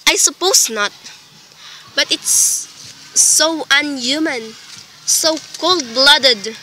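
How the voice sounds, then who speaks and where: A woman's voice: high-pitched, extremely slow, bright, forceful tone, slight background noise, full character voice, rough recording. A woman playing an anxious, demanding invalid wife in a radio play, fretful, pleading and dramatic. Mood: distressed.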